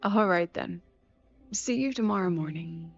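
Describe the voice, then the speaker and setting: An actress playing a polite, reserved, overworked academy president in a video game. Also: female voice, mid-range voice, animated, a little slow. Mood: courteous, composed. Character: composed, courteous, overworked female academy president. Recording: dull lo-fi game audio, faint music.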